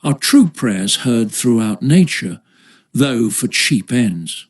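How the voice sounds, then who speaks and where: A man narrating a philosophical essay as an audiobook, with a formal, measured literary delivery. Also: medium pitch, expressive, husky.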